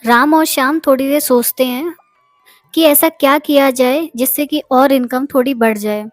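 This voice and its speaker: A woman with a high voice reads a scripted story as its narrator. The sound is dry and close, with music playing behind her. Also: moderate pace, dry recording.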